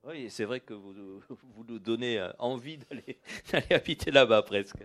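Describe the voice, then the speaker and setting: A man's voice: medium pitch, moderate pace. A man interviewing an author, asking questions with many restarts and frequent chuckles. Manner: stumbling restarts, warm chuckles.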